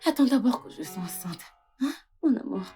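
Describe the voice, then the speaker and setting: A woman's voice: on the high side, expressive, brisk, mellow, faint music behind, close to an everyday voice. A woman playing an anxious young wife who coaxes and soothes, in dubbed film dialogue. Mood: soothing, coaxing. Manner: coaxing, pleading.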